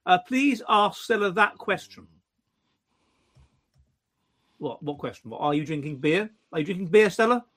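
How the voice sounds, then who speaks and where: A man with a high voice hosts a casual chat and reads listener questions aloud. He keeps breaking off and restarting his sentences, and he slips in wry jokes as he goes. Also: lively intonation, a bit bright. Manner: halting, stammering restarts; wry, joking. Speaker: host of an online pub show.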